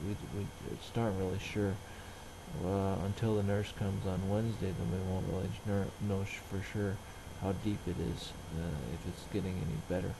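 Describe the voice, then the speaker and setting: A middle-aged man casually narrating everyday tasks in a halting, rambling, plain-spoken way. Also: low voice, flat delivery, vocal fry, noisy background. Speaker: vlogger.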